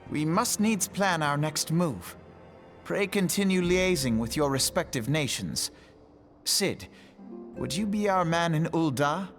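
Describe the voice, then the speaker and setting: A man playing an earnest, composed young scholar in a fantasy video game, with formal, archaic and deliberate phrasing. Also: a little high, lively intonation, a bit bright, soft background music. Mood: serious, resolute. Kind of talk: video game cutscene acting.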